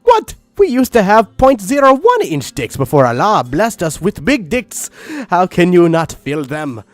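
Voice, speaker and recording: An excitable militant villain from a parody story, put on by a man. He exclaims loudly and bombastically, with the pitch pushed high and swinging widely. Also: measured pace, no room sound, faint music behind, exaggerated voice. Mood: indignant.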